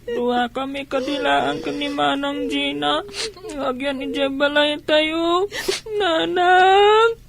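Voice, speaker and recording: Natimid nga ubing, nangato timekna. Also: female voice, high-pitched, steady tone, slow pace, vocal fry, heavily acted. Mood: tearful, pleading. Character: small orphaned child, timid younger sibling. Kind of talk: radio drama acting.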